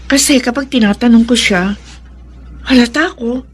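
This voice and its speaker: A woman's voice: fairly high pitch, normal speed, noisy background, lightly acted. A woman playing a troubled, suspicious wife and mother in a radio drama, with a Filipino accent. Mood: uneasy, wondering.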